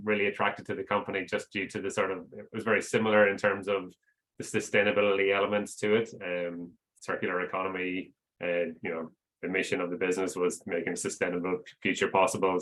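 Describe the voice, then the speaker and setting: A man in his forties, an investor relations head from outside Australia, answering interview questions on a video call in a relaxed way with many fillers. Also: lowish voice, low-quality recording.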